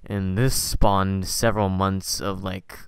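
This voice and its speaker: A man hosting a podcast in casual conversation, talking in a rambling, halting way and often correcting himself. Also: sits low, level tone, creaky, smooth, dry recording. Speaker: podcast host. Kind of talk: casual interview chat.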